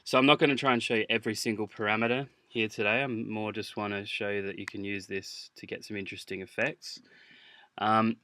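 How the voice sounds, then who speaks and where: A man teaching music software in an informal tutorial, hesitant as he thinks aloud while demonstrating. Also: on the low side, level tone, brisk. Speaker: music production instructor. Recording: clear background noise, mouse clicks.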